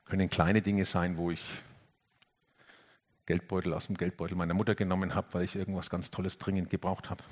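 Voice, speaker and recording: A man preaches a reflective sermon in a somewhat low voice. He talks earnestly, climbing to emphatic, lifted phrases, then dropping almost to a hush on the heavier points. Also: flat delivery, fairly quick. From Franconia, Germany. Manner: earnest, swings between emphatic lifts and hushed, quiet passages.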